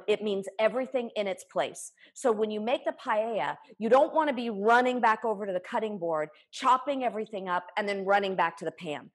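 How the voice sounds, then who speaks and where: A woman in her forties giving a live cooking demonstration in her home kitchen, explaining each step with enthusiasm while she chops. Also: medium pitch. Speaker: cooking instructor. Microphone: camera a few feet away.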